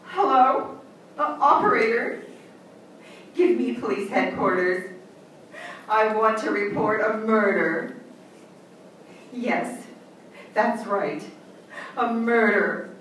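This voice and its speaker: A woman's voice: on the high side, fairly bright tone. Actress as cold vengeful woman, very echoey stage. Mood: coolly composed. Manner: scornful, deliberate, theatrical. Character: cold, vengeful woman who double-crosses a judge. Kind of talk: old-time radio melodrama acting on stage. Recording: echoey, distant stage recording, faint noise.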